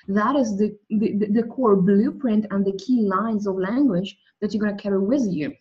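A woman's voice: mid-range voice, moderate pace, darkish tone, highs cut off. Woman musing on soul. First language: Russian. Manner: thoughtful, restarts often, speaks of soul and language. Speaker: guest. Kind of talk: spiritual interview conversation.

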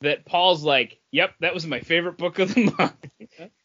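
A man's voice: on the high side, moderate pace, a bit bright, dull audio. A man co-hosting a podcast, giving his opinions on comic books in casual chat.

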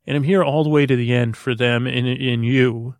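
Creaky-voiced man rambling softly for sleep. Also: steady tone, no echo, studio quality. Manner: meandering, halting, repeats and trails off into tangents; droning, low-key. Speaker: podcast host. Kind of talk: rambling sleep podcast monologue.

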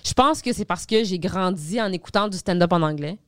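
A stand-up comedian from Montreal with a Québécois French accent, telling stories in a lively, casual podcast chat full of swearing and fillers. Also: female voice, fairly low pitch, brisk, dry recording, studio quality. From Montreal, Quebec.

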